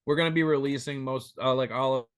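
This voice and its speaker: A rambling, animated man in his thirties, speaking as a guest over a remote call. He swears freely and keeps a mid-range pitch, and the recording is dry and close with no room echo. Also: fast, smooth. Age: 30s. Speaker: band member guest. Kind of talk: casual interview chat.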